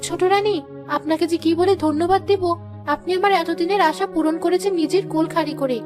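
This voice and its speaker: A woman plays an anxious village mother in a cartoon fairy tale, nagging and exasperated in a very high voice. Music plays behind her as she speaks. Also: fairly quick. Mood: grateful. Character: anxious scolding village mother of a teenage girl.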